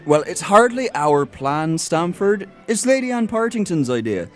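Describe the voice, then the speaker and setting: A man's voice: high-pitched, big pitch swings, moderate pace, soft background music. A man playing a jovial, mischievous prankster in audio theatre, with a breezy, conspiratorial manner. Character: jovial, mischievous male prankster, a doctor's acquaintance.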